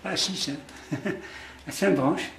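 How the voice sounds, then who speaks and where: A man's voice: a little high, slow pace, gravelly, a bit bright. An older man from Algeria, interviewed in a conversational way, reflective, repeating words as he searches for them. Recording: echoey room, faint background noise.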